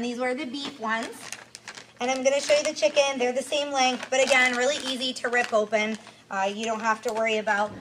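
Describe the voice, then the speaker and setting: Woman, thirties, bright forceful voice, presenter reviewing products, some background noise. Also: fairly high pitch, poor audio. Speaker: pet product reviewer and host. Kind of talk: informal product review to camera.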